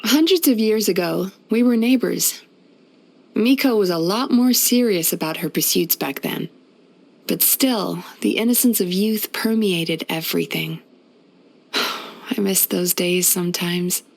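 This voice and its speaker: A woman voicing a gentle, wistful, centuries-old yokai healer in a video game, speaking softly in a measured, polite, reflective way. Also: fairly low pitch, creaky, poor audio. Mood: nostalgic, fond. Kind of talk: scripted video game character voice-over.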